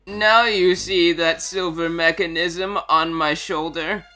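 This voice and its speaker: A man voicing a gloating, impatient alien warrior villain in a theatrical, drawn-out way, as in a fan fiction reading. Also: on the high side, fairly even intonation, pushed and bright, faint music behind, pitched above the performer's own voice, low-quality recording. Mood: smug, impatient.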